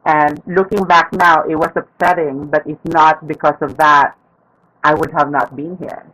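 A woman who works as a physical therapist, candidly telling a personal story in a panel talk with a feminine-sounding voice and soft fillers. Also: low voice, steady tone, unhurried, poor audio.

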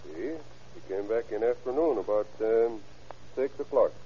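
An actor playing an evasive Mexican husband and father in halting, accented English, in old-time radio drama style. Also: on the low side, warm tone, a little hiss or hum, close to an everyday voice. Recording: muffled vintage radio recording.

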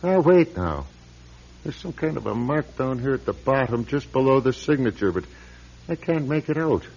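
An actor playing a blunt, weary old priest in a radio drama, husky and sardonic, on a muffled recording. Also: male voice, mid-range voice, very animated, moderate pace, darkish tone. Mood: puzzled. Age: older. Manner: gruff, sardonic, plain-spoken. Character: blunt, weary, grumbling old Irish-American parish priest.

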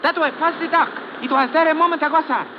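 A man playing an excitable, deferential lookout who reports in clipped phrases in an old radio drama. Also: extremely high pitch, fast talker. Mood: urgent. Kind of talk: 1950s radio drama acting. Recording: muffled, noisy old radio recording.

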